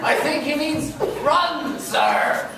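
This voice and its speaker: A man's voice: very high-pitched, wildly swooping pitch, slow, crowd noise, exaggerated voice. A male performer playing an earnest, theatrical naval lieutenant with declamatory delivery in an amateur school pantomime. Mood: alarmed. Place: large school hall stage. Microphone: distant camera microphone. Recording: very noisy distant echoey hall recording.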